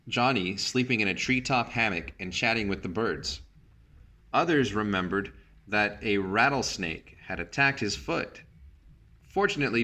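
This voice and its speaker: Male storybook reader, lowish voice, teacherly and measured, narrating with short explanatory asides. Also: sharp tone. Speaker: teacher reading a picture book aloud. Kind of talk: storybook read-aloud with brief asides.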